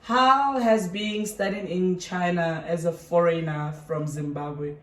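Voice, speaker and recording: A woman's voice: fairly low pitch, steady tone, slow, a bit bright. South African young woman, interview host, chatty, sits back from the mic in an echoey room. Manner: chatty, many short backchannels. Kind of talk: casual interview chat. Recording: echoey room, distant mic, faint noise.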